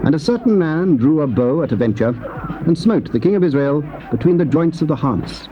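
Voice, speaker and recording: A man narrates a Bible story formally, with lively, varied intonation at medium pitch. The sound is a dull old tape with clear hiss. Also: fairly quick. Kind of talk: scripted dramatic Bible narration. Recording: muffled, noisy old cassette.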